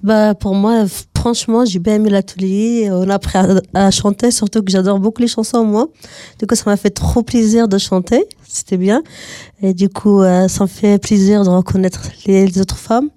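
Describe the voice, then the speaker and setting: A woman's voice: medium pitch, fairly even intonation, normal speed, mellow, no echo, clean studio sound. A woman speaking casually as a workshop participant giving her impressions. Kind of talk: casual spoken testimonial.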